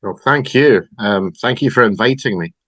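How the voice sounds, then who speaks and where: Middle-aged Scottish man, low and dry, slow reflective storyteller. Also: fairly bright tone, no room sound. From Scotland. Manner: reflective, halting, many ums. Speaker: podcast guest. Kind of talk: personal recovery story.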